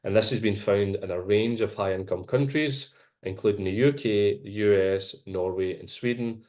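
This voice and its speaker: A man with a Glaswegian accent presenting his research in an online seminar, in a measured, explanatory way over a video call. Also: lowish voice, darkish tone. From Glasgow.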